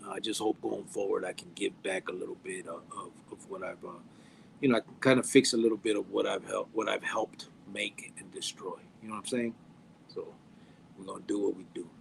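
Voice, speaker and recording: Gravelly man in his forties. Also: deep voice, fairly even intonation, a little hiss or hum, low-quality recording. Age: 40s. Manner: halting, many fillers and restarts, mixes in Spanish slang. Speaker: podcast guest. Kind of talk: casual podcast chat.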